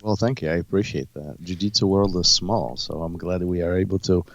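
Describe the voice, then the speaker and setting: Very deep-voiced middle-aged man, dull sound. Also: dry recording. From Poland. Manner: measured, hesitant, many fillers and restarts. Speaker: guest, jiu-jitsu instructor. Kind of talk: interview.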